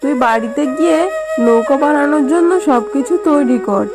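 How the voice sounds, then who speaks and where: A caring, practical mother fox from a children's cartoon, played by a woman. The voice is high and motherly, explaining things earnestly. Music plays clearly underneath. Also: moderate pace. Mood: instructing, caring.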